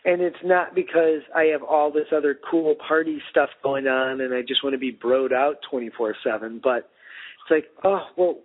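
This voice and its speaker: A man co-hosting a casual podcast, talking over the phone in a rambling, animated way full of "like" and restarts. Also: a little high, moderate pace. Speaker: podcast co-host. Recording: tinny phone line.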